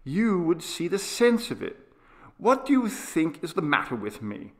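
A man's voice: a little high, very animated, unhurried, pitched above the performer's own voice. A man voicing a feverish, eager young boy who pleads urgently and insistently in an audiobook story. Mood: indignant, pleading. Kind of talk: dramatised audiobook character reading.

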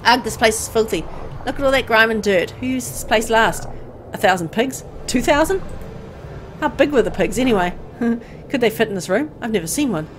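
A woman reading a visual novel aloud as dramatic scripted narration, with an expressive storytelling delivery. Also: on the high side, normal speed, a little hiss or hum.

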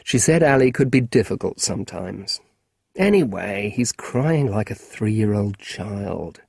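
A man reading aloud, voicing a gentle father who speaks low, calm and soft. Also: a little slow, creaky, slightly rough, no room sound, studio quality, exaggerated voice. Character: exasperated but gentle father.

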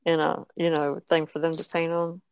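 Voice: mid-range voice, level tone, normal speed, smooth, rough recording. A podcast participant adding brief remarks in a casual discussion.

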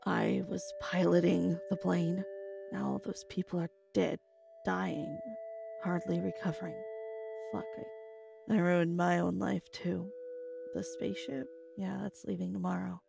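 A woman's voice: fairly low pitch, steady tone, measured pace, gravelly, over music. An actress playing a fierce, foul-mouthed, hopeful woman pilot in an apocalyptic sci-fi audio drama. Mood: bitter, grieving. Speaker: voice actor.

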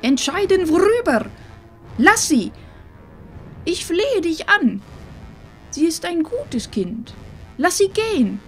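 A woman's voice: extremely high pitch, very animated, slow pace, pauses often, faint music behind, heavily acted. A woman playing an anxious, protective old village seer, urgent and pleading, in a fantasy audio drama.

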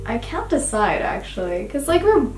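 A woman's voice: fairly high pitch, very animated, normal speed. A young adult woman from the United States and Qatar, chatting casually as a guest. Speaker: guest roommate, university student. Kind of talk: casual chat. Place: small dorm room, indoors. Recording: roomy, distant mic, music behind.

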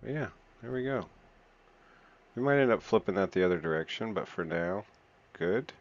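A man's voice: on the low side, lively intonation, normal speed, highs cut off. A man hosting a gaming channel, talking to himself casually as he builds, counting under his breath and thinking aloud. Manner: counts and mutters while building, self-talk. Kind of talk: casual let's-play commentary.

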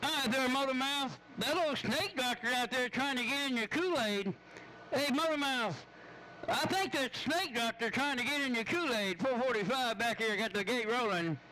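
A man's voice: very high-pitched, moderate pace, rough recording. A man making folksy, repeated calls in casual CB radio slang. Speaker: CB radio operator. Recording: bright CB receiver audio with faint noise.

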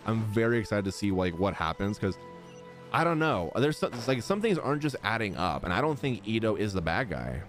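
A man's voice: fairly low pitch, brisk. A young man giving casual, slangy live reactions to a game as a streamer, close on a desk mic. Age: young adult. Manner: expressive, slangy. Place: indoor gaming room. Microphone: close desk microphone. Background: game music.

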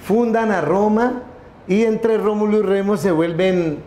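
A man's voice: high-pitched, steady tone, unhurried, mellow. Rambling middle-aged man in an echoey room. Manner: rambling, many restarts and repeated words. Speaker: company founder, interview guest. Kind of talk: casual storytelling interview. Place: room with wooden wolf statue, indoors. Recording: echoey room, faint background noise.

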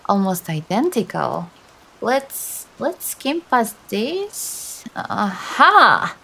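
A woman voicing a teasing but sympathetic female demon in an intimate ASMR roleplay, coaxing softly with dramatic hesitations. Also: a little high, full character voice. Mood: awkward, taken aback, then playful, prying.

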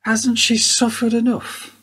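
An actor playing a sympathetic, measured police detective in a radio play. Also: male voice, very high-pitched, monotone, sharp tone, husky, heavily acted. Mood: reasonable. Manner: measured questioning. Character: sympathetic male police detective questioning a widow. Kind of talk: radio drama dialogue.

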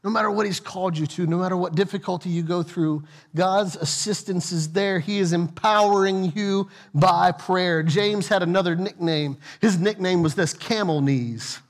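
A middle-aged man preaching a sermon as senior pastor, moving between quiet, low asides and raised, emphatic lines as he walks a church stage. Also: moderate pace, few pauses. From United States. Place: large church sanctuary with stage.

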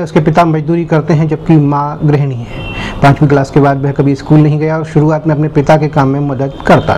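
A man presenting a scripted news bulletin in a formal, measured announcing manner. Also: a little high, a little mellow, a little hiss or hum.

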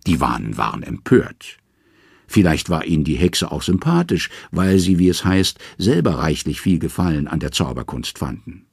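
A man narrating mythological tales from a script in a measured, dramatic storytelling manner. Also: a bass voice, vocal fry, breathy edge, dry recording, studio quality.

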